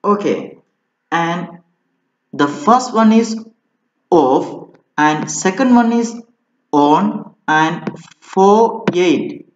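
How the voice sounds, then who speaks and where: A man's voice: high voice, expressive, very slow, slight background noise. A man giving an informal technical tutorial as an instructor, explaining step by step and often checking in with "okay". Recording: muffled, roomy, echoey home recording.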